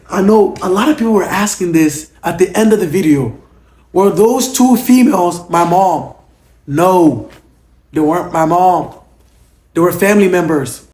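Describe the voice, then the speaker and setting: Hoarse young man, very high voice. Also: expressive, moderate pace, a little mellow. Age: young adult. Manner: emphatic, keeps tagging on "okay?". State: hoarse, losing his voice. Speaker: former reality show contestant. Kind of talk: casual Q&A vlog. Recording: roomy echo.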